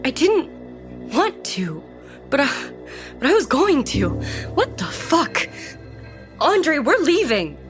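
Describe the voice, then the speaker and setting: Woman acting a high, distraught young woman. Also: very animated, heavily acted. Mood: urgent, angry. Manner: halting, urgent, distressed speech. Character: terrified, shaken young woman at a party. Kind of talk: acted audio horror drama. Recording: music under the voice.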